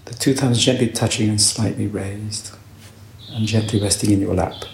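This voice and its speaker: A man leading a guided meditation with calm, gentle, unhurried instructions and long pauses between short phrases. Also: fairly low pitch, gravelly. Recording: roomy echo, faint background noise.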